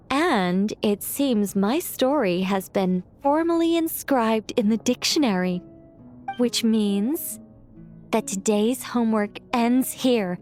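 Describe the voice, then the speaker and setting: High, lilting whimsical young witch. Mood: pleased, playful. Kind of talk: video game voice acting.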